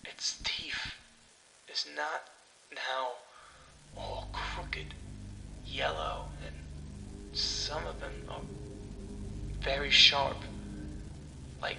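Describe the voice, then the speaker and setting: A man narrating a first-person horror story dramatically, in halting short phrases that often drop to a breathy half-whisper. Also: mid-range voice, sing-song, background noise. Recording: echoey room with music underneath.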